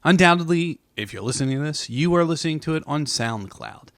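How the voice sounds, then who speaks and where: A man's voice: fairly high pitch, expressive, a little fast, fairly bright tone. A man hosting a podcast in a casual, conversational style. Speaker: podcast host.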